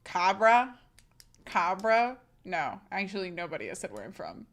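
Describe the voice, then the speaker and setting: A woman streaming live and chatting casually with her audience, often repeating herself and apologizing in quick bursts. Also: medium pitch, sing-song. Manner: rapid repeated apologies and asides to chat.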